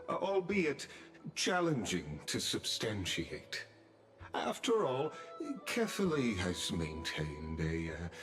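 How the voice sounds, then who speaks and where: A man's voice: low voice, full character voice. A man playing a suave, inscrutable official in a video game, speaking with sly politeness and courtly, theatrical phrasing. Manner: courtly, unhurried, smooth-tongued, theatrical. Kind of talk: dramatic video game voice acting.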